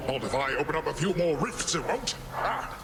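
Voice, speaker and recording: An actor playing a boisterous, pompous middle-aged sea god in a video game, with grandiose, theatrical delivery. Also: male voice, fairly high pitch, lively intonation, normal speed. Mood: pompous, griping. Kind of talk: scripted video game character acting. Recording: voice under very loud noise.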